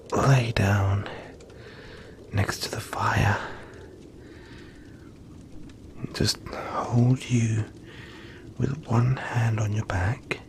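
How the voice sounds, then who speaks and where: A man voicing a gentle, friendly young yeti in an intimate audio roleplay, speaking softly and reassuringly, with halting, breathy phrasing. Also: on the low side, noisy background. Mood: tender, soothing. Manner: soft-spoken, reassuring, halting, often breathy near-whisper.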